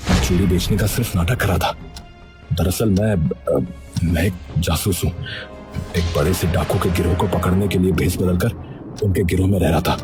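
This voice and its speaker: An earnest undercover detective from a village cartoon tale, voiced by a man. His voice sits low and he talks like someone explaining himself. Music plays clearly behind him. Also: normal speed, natural voice. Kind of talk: Hindi cartoon story dialogue.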